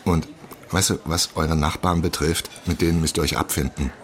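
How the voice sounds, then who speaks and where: An actor in a radio drama playing a brash, cynical friend who gives blunt, sweary, mocking advice. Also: male voice, extremely low pitch, steady tone, moderate pace. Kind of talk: naturalistic radio drama acting.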